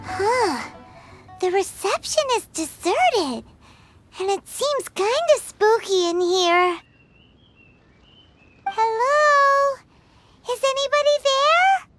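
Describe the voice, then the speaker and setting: A woman voicing a tiny, excitable, easily spooked fairy girl companion in a video game, squeaky and childlike. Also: slow, long pauses, exaggerated voice. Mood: nervous. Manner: speaks of self in third person, exclaiming. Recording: music behind the voice.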